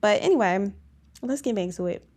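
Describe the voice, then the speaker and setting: A woman's voice: mid-range voice, animated, brisk, darkish tone. A young woman with a girlish, baby-like voice, hosting a podcast in a casual, rambling chat full of fillers, restarts and giggles. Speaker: podcast host.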